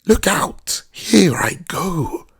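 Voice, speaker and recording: A screeching, cunning old witch from a storybook reading, put on by a man with a husky voice. The voice jumps up high and wails. The sound is close and dry. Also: very animated, drawn-out words, no echo, full character voice. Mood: wailing. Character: shrieking wicked old witch, cunning and cruel.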